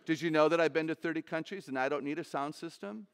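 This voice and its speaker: Woman in her sixties, low voice, animated humorous speaker, large stage, headset mic. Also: quick pace. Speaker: conference speaker.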